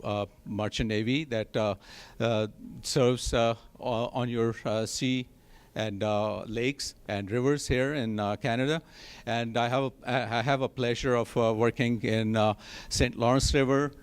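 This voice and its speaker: A man making closing remarks as a city council candidate, speaking haltingly with many hesitations. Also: medium pitch, clear, resonant tone. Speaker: election candidate.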